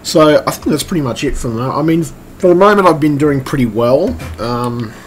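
A man with a croaky, strained voice, giving a casual, hesitant, rambling update like a vlogger. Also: on the high side, lively intonation, moderate pace. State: strained voice, throat-clearing. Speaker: vlogger and computer repairer. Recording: clearly noisy room recording.

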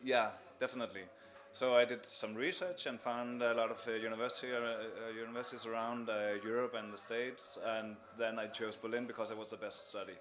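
A young man from Denmark, answering interview questions thoughtfully in a cafe, pausing and restarting as he thinks. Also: mid-range voice, steady tone, fairly bright tone. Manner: thoughtful, many fillers and restarts. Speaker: sound artist, interview guest. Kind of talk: relaxed sit-down interview. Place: indoors in a small cafe. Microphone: handheld mic held by interviewer.

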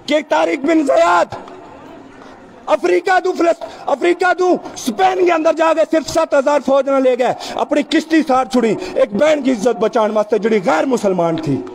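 A man, giving an impassioned political speech into podium microphones on an outdoor stage and shouting rhetorical questions. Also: very high-pitched, creaky. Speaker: political rally speaker. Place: outdoor tented stage at a rally. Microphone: several podium microphones.

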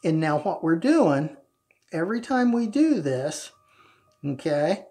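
Man in his fifties, hobby presenter, very slow deliberate delivery, music behind. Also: on the high side, big pitch swings, warm tone. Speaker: presenter of a wet shaving channel. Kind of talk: instructional product demonstration to camera. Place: small tiled home bathroom, indoors.

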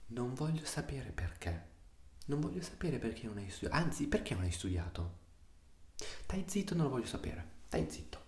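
A young man playing a stern, exasperated father in a soft-spoken ASMR roleplay, hushed and often slipping into whispers. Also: mid-range voice, sing-song, normal speed. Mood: exasperated, sarcastic. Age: 20s. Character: stern, exasperated, sarcastic Italian father scolding his son. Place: small room indoors. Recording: roomy echo, faint hiss.

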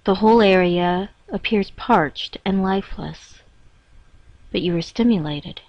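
A woman reading a scripted guided meditation in a measured, instructing manner with pauses between phrases. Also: mid-range voice, a little mellow. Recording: dull, roomy echoing lo-fi recording.

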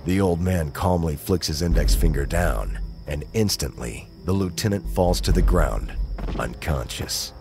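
Deep-voiced male drama narrator over music. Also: a little slow. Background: music and sound effects.

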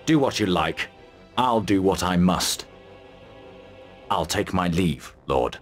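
A bold military commander in a fantasy video game. He is gruff and blunt, slow in pace, with a lowish voice that swings widely in pitch. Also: lively intonation, faint music behind. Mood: resolute, blunt. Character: bold, gruff, resolute male mercenary commander. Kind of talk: video game cutscene acting.

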